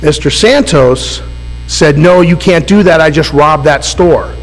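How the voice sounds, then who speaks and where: A man with a cold, serving as defense attorney and arguing an appeal in formal, deliberate speech that sometimes stalls under questioning. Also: fairly high pitch. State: has a cold. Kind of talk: appellate oral argument. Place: courtroom. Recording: roomy courtroom with faint mains hum.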